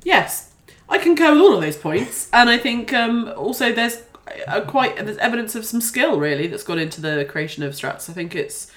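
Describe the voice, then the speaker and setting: A woman's voice: a little high, big pitch swings, normal speed, a bit bright. A woman hosting a podcast in casual, chatty banter with a group. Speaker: podcast host and judge.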